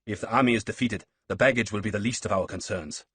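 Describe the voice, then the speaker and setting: A man's voice: lowish voice, quick pace, a bit bright, a little hiss or hum, natural voice. A man playing a stern, urgent Roman commander.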